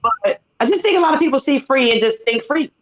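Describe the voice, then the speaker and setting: A woman in her forties from Detroit talks casually as a guest on a video call. Her voice sits a little high, and she restarts her sentences as she goes. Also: lively intonation, fast. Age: 40s. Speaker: singer and guest.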